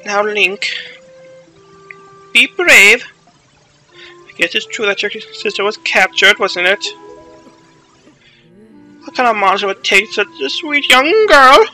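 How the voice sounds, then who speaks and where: Man playing a gentle high-voiced old grandma. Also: slow pace, long pauses, faint music behind, heavily acted, poor audio. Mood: tender, concerned. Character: gentle, caring elderly grandmother.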